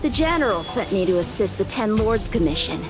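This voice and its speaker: A woman as a proud boy swordsman, animated. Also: mid-range voice, brisk, over music, natural voice. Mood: calm, entranced. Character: proud teenage boy swordsman, entranced and overconfident. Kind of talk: video game cutscene acting.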